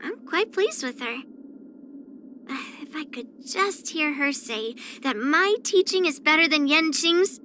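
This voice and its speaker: Bright, high-voiced girlish young swordswoman. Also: expressive, takes it slowly, a little hiss or hum, heavily acted. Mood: matter-of-fact, confident. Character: spirited young swordswoman, blunt, proud, girlish. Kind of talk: character voice lines for an anime-style video game.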